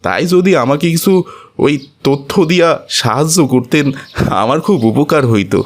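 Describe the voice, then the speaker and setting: A man voicing a humble, loving poor father in a cartoon, gentle and plain-spoken with a colloquial Bangladeshi accent. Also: fairly high pitch, lively intonation, normal speed. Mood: humble, pleading. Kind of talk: animated cartoon dialogue.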